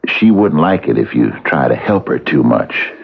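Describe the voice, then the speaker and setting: Very deep-voiced, coaxing husband. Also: male voice, very animated, moderate pace, vocal fry, breathy edge, full character voice. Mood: folksy, hesitant. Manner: folksy, hesitant, coaxing, repeats himself. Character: genial, coaxing married man, soothing, quietly hypnotic. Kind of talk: old-time radio drama acting. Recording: muffled old radio broadcast, music behind.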